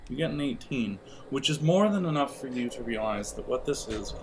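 A man's voice: mid-range voice, animated, fairly quick, noisy background. A man narrating a role-playing game as game master, speaking conversationally and often searching for words.

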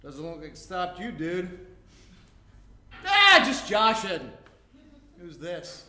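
A man acting out a cocky, athletic big brother in a live comedic play reading. His voice sits high for a man, and he talks in mocking, slangy jabs. The room around him is noticeably echoey. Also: huge pitch swings, slow, bright, forceful tone, full character voice. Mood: teasing. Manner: slangy, mocking, jock-like delivery. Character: brash, taunting, athletic man of thirty-two, needling younger brother. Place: indoor room before a live audience. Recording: roomy live stage recording.

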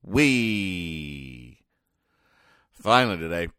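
Male comedy podcast host, deep voice, halting deadpan explainer, close dry recording. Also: smooth, no room sound. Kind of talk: comic commentary describing newspaper comic strips.

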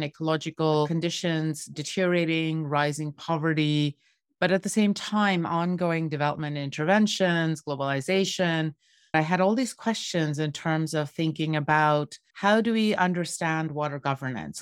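A woman's voice: low-pitched, clean tone, no echo. A woman from Bangladesh, a water researcher, talking reflectively and listing point after point. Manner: speaks in long chains of listed points. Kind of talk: reflective interview talk. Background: background music.

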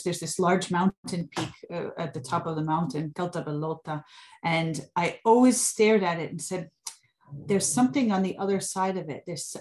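A woman's voice: lowish voice, a little mellow, slight background noise. A woman from New York being interviewed, explaining at length with many restarts. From New York, USA. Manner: fluent but full of fillers and restarts, explanatory. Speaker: guest. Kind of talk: interview storytelling.

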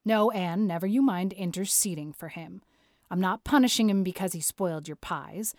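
A woman's voice: fairly low pitch, a little mellow, dry recording, studio quality, close to an everyday voice. A woman voicing a stern, practical older woman, a strict guardian who speaks firmly and matter-of-factly in a storybook reading. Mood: stern, scolding. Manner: firm, brisk scolding, matter-of-fact.

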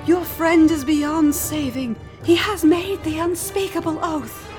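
A woman's voice: mid-range voice, fairly even intonation, normal speed, music in the background, close to an everyday voice. Woman as a commanding, solemn ostrich priestess. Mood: alarmed, commanding. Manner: formal, grave, archaic phrasing.

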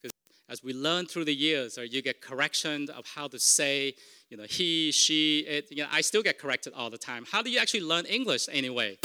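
Man, middle-aged, first language vietnamese, conversational preacher, very bright tone. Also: fairly high pitch, lively intonation, fairly quick. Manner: conversational, self-correcting, asks the congregation "right?". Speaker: pastor. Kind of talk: informal sermon teaching.

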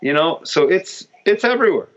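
Animated Israeli-born man, lo-fi sound. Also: a little fast. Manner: animated storyteller, many restarts, pitch jumps when excited. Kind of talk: casual chat. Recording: dull lo-fi sound.

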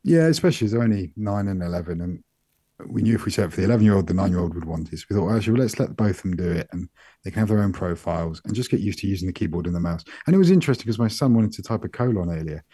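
Middle-aged British man, soft-spoken co-host, deep mellow voice, dry close mic. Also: very fast, husky, no room sound. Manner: soft-spoken, relaxed and rambling. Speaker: podcast co-host. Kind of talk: casual chat.

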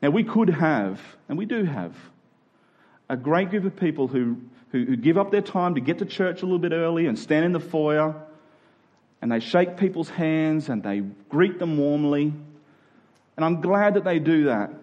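A man's voice: a little high, animated, moderate pace, dull audio. A man preaching a conversational sermon to a congregation, in colloquial language with emphatic repeated phrases. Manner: colloquial, dramatizing imagined exchanges.

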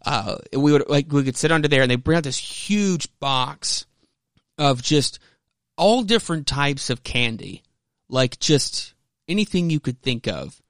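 A man's voice: on the high side, expressive, unhurried, a bit bright, no echo. Rambling man podcasting, very dry sound. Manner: rambling, hesitant, self-interrupting, profane. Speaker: podcast host. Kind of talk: casual rambling commentary.

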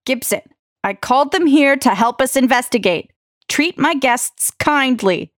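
A brisk, no-nonsense policewoman, played by a woman who gives curt, level orders. Her voice sits slightly high, and she reports facts plainly. The recording is close and dry. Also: animated, no room sound. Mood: firm. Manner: firm, curt. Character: firm female police officer, no-nonsense and brisk. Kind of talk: audio drama acting.

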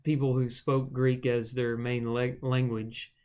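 A man hosting a podcast, talking through a Bible passage in a relaxed, explanatory way with a co-host. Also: mid-range voice, measured pace, clear, resonant tone. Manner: relaxed and explanatory, with frequent fillers. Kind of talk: conversational Bible teaching.

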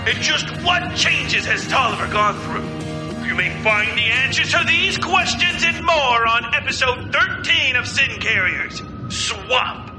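A woman delivers a scripted, dramatic preview as a podcast announcer. Her voice is roomy and echoing, with music clearly playing behind it. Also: sits high, lively intonation, slow.

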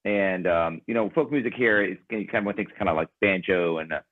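A very low-voiced man speaks in a relaxed, rambling way, with plenty of fillers. His first language is Ukrainian. The sound is thin and phone-like, close and dry. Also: a little fast, no room sound. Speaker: band member guest. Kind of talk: casual interview conversation. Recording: tinny phone-like line.

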